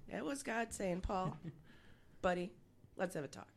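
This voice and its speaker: A woman's voice: medium pitch, expressive, unhurried, gravelly. A woman, co-hosting a radio show, telling stories with frequent giggles. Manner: giggly, storytelling. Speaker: radio co-host. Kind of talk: casual radio banter.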